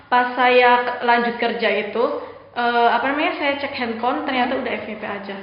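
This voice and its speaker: Young woman, ngapak Javanese accent, polite chatty guest, higher pitch, roomy dull audio. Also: fairly even intonation, brisk. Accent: Banyumasan (ngapak) Javanese. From Brebes, Central Java. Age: young adult. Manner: chatty, calls the host "Bu" politely. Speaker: guest, TikTok creator. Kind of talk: casual interview chat. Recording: roomy, slightly dull podcast audio with faint hiss.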